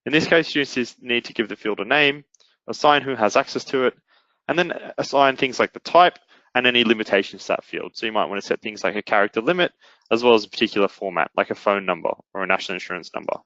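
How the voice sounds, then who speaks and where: A man presenting a software product in a webinar, explaining it step by step in an instructional way. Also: mid-range voice, fast talker, no echo, dull audio. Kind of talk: explanatory webinar demo narration.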